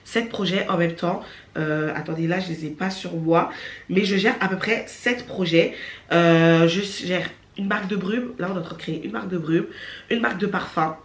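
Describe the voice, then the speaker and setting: A young woman vlogging at home, chatty and animated, with a stuffy nose from a cold. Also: on the low side, moderate pace. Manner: chatty and animated, laughing often. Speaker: vlogger and beauty entrepreneur. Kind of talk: casual vlog talking to camera. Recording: echoey room, voice from far off.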